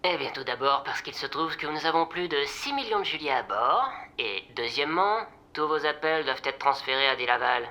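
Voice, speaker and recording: A woman's voice: deep voice, lively intonation, a little fast, few pauses, bright, forceful tone. A woman playing a sarcastic, brusque, fed-up helpline operator in a comic audio drama. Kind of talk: audio drama comedy acting.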